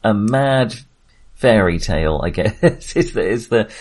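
A man's voice: fairly low pitch, lively intonation, a little slow, darkish tone. A man talking casually as a film podcast host, in a hesitant, rambling but enthusiastic way.

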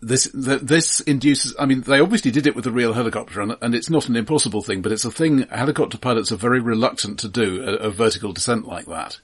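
A man's voice: mid-range voice, fast talker, hardly any pauses, husky. A man co-hosting a casual film podcast, talking in a pedantic, explanatory way and often stammering and repeating words as he goes.

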